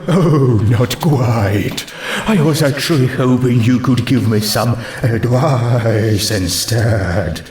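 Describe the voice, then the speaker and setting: Man putting on a slow, wistful ghost mare. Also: mid-range voice, a little mellow, roomy sound, natural voice. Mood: shy, wistful. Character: gentle, shy, wistful ghost mare seamstress.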